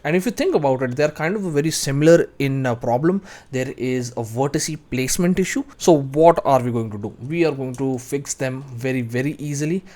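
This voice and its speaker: Man explaining software steps. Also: mid-range voice, fairly quick, hardly any pauses. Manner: explanatory, talking through each step. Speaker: tutorial instructor. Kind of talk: step-by-step software tutorial narration.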